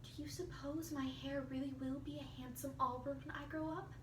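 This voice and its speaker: A high-pitched, imaginative orphan girl played by a woman in a storybook reading, gushing and earnest with melodramatic flourishes. The sound is roomy, with faint noise behind it. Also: fairly even intonation, normal speed, close to an everyday voice. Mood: dreamy, chatty. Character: dramatic, imaginative red-haired orphan girl, effusive and earnest. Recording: roomy, distant mic, faint hiss.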